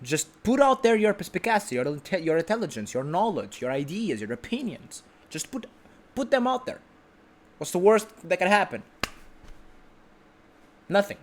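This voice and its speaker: A man's voice: sits high, sing-song, moderate pace, long pauses. A man sitting on his sofa at home, delivering an unedited vlog monologue in a brash, self-assured way, rambling with restarts and the odd swear word. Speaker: vlog host.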